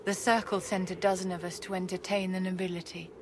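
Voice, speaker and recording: An actress playing a poised, secretive court enchantress in a video game, formal and measured, with condescending courtesy. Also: female voice, fairly low pitch, level tone, background noise, close to an everyday voice. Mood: grieving. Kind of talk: video game cutscene acting.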